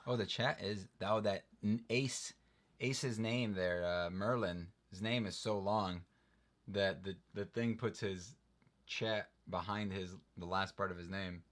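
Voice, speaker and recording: A man's voice: sits low, unhurried. Exasperated man ranting on a livestream. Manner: animated, exasperated, rambling rants. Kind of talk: casual livestream chat.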